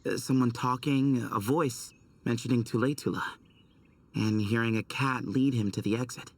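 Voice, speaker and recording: A man's voice: mid-range voice, steady tone, normal speed, distant mic, a little hiss or hum, lightly acted. A man playing a confident, easygoing young temple leader in a video game, casual and assured as he explains. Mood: thoughtful.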